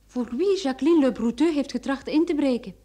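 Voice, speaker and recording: Actress, earnest young woman, quick-witted amateur sleuth, high pitch, expressive radio drama delivery. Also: natural voice. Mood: keen, deducing. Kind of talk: old Dutch radio drama acting.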